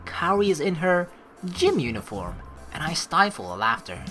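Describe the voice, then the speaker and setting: A woman's voice: deep voice, sing-song, normal speed, fairly bright tone. A woman hosting a game playthrough, reading the text aloud and adding jokey, rambling casual commentary. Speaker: let's play host. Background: game music.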